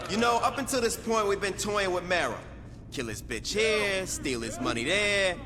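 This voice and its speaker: An actor playing a tough, impatient street gang boss in a video game, with a dry, blunt delivery. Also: male voice, high-pitched, lively intonation, moderate pace, a crowd in the background. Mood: rousing, determined. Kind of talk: video game cutscene acting.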